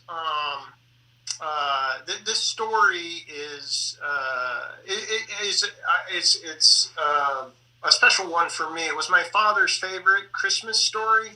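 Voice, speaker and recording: A middle-aged man talks off the cuff about his creative work. His voice is strikingly bright and forward, a little on the high side, and picked up at some distance from the microphone. He proceeds haltingly, often pausing and restarting his phrases. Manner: halting, broken phrasing with many pauses and self-corrections. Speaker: sound designer and composer for radio plays. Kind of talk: conversational panel talk about theater production.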